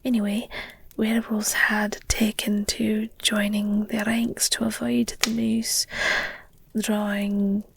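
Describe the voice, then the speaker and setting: A very breathy, husky whisper with a crackle of fry, unhurried and close in feel. This is an affectionate werewolf girlfriend, played by a woman, soothing her lover in an ASMR roleplay. She is candid and hesitant, slipping in gently teasing, wry asides. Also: medium pitch, little pitch movement, dry recording, exaggerated voice. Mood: hushed, explanatory. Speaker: audio roleplay voice performer.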